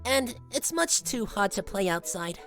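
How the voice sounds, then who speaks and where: A woman voicing a blunt, breezy, self-assured young pony girl in an animated drama. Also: medium pitch, a little slow, soft background music. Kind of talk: animated audio drama acting.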